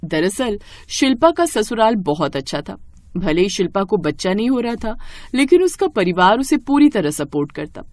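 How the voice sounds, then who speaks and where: A woman's voice: medium pitch, big pitch swings, normal speed, darkish tone. A woman narrating a scripted family story as a storyteller.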